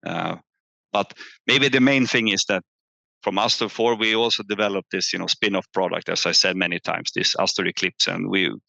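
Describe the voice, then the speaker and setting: A man presenting company results as an executive on a call, halting and often repeating words as he searches for phrasing. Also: deep voice, fairly even intonation, creaky, sharp tone. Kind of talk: earnings call presentation and answers. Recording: muffled, echoey room on online call.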